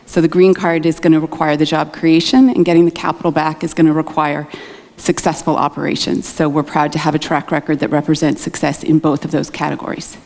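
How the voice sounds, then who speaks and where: A woman's voice: deep voice, little pitch movement, fairly quick, darkish tone. A woman presenting her company on a conference panel, fluent and businesslike. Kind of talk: panel self-introduction. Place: large conference hall. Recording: hall reverb from a conference stage.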